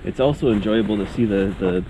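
A man with a fairly low voice chats casually while out walking with a companion. The recording is made outdoors, and loud ambient noise runs heavily behind his speech. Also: fairly quick. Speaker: hiking companion. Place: outdoors on a snowy woodland trail.

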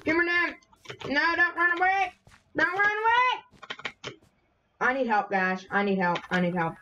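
A woman streaming a game with friends, chatting casually, yelping and shouting at the action and using mild minced oaths instead of swears. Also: high voice, sing-song, unhurried. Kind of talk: casual gaming chat. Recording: home stream mic with noise and keyboard clicks.

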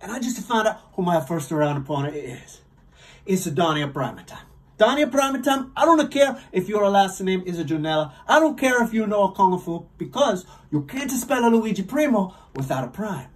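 A man's voice: sits high, sing-song, moderate pace, distant mic. A man with an Italian accent giving a boastful, theatrical wrestling promo as a pizza-maker character, adding extra vowels to words. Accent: Italian-accented English.

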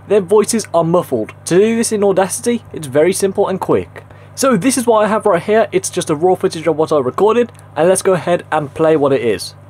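High-pitched young man, slightly husky. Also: darkish tone. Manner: informal, instructional. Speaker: tutorial video host. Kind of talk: casual screen-recorded software tutorial.

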